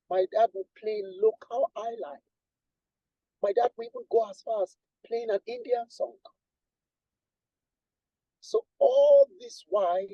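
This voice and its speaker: A man from Nigeria with a husky voice, a guest musician talking at length in a casual interview and restarting his sentences often. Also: high-pitched, dark tone, no room sound, muffled recording. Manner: rambling, repeats and restarts, lists with emphasis.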